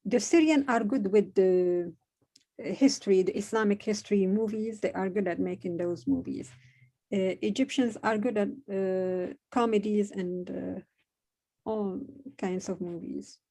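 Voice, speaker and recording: A woman from Morocco whose first language is Moroccan Arabic, speaking English with a Moroccan accent. She answers interview questions in a hesitant, casual way, with frequent pauses and fillers. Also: mid-range voice, warm tone. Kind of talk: conversational interview.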